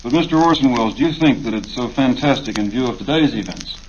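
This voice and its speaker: A man moderating a radio interview in a formal, deliberate manner, on an old recording. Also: mid-range voice, expressive, dull audio, a little hiss or hum.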